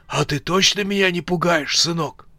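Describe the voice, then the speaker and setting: Man putting on a high, sharp, grumpy bandit. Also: moderate pace, no echo, clean studio sound, full character voice. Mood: uneasy, suspicious. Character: grumpy, jittery older male bandit, called "daddy".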